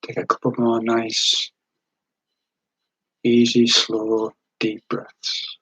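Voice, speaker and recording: A man leading a guided meditation in a gentle, soothing, unhurried way, with long pauses between short phrases and a breathy edge to the voice. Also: medium pitch, monotone, dry recording, low-quality recording.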